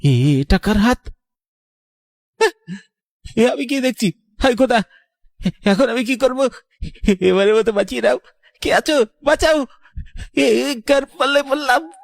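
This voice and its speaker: Man acting terrified victim, very high creaky voice. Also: animated, unhurried, pauses often, no room sound, full character voice. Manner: stammering, panicked pleading. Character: terrified trapped man begging for rescue.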